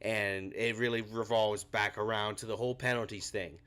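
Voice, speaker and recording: A man's voice: fairly low pitch, fairly even intonation, normal speed, a bit bright, clean tone. Frustrated young man with a cold. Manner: frustrated ranting, rising when angry. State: fighting a cold, a bit scratchy. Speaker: podcast host. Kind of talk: postgame sports reaction.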